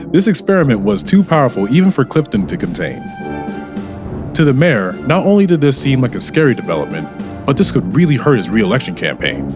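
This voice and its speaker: A man narrating an audio drama as a scripted storyteller. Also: mid-range voice. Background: music.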